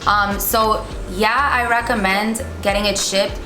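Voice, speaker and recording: A woman's voice: mid-range voice, little pitch movement, unhurried. A young woman from New York and New Jersey in the United States, telling her experience casually as an interview guest. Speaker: interview guest, business owner. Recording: echoey room with music behind.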